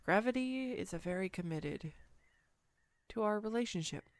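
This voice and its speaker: A woman's voice: mid-range voice, lively intonation, moderate pace, no room sound, close to an everyday voice. A woman playing a clumsy, giggly, self-deprecating girlfriend on a date, in an intimate one-sided roleplay audio drama. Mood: shy, confiding. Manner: flustered, self-deprecating, chatty with bursts of laughter.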